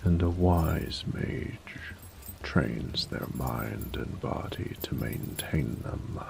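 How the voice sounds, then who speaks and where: A man playing a flirty, adoring dragon mentor in an intimate romantic audio roleplay, speaking formally in a gravelly voice that often drops to a whisper. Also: extremely low pitch, lively intonation, noisy background, heavily acted. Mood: patient, coaxing. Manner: slow, formal, doting, seductive.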